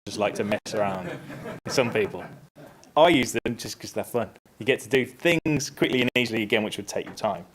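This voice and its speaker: A man presenting a casual, upbeat software tutorial on a conference stage, stepping through each click. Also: on the low side, lively intonation, fairly quick. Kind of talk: live software tutorial talk.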